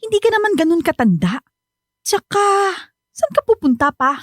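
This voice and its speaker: An actress playing an affectionate, supportive daughter in a radio drama. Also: female voice, extremely high pitch, sing-song, moderate pace, no room sound, clean studio sound.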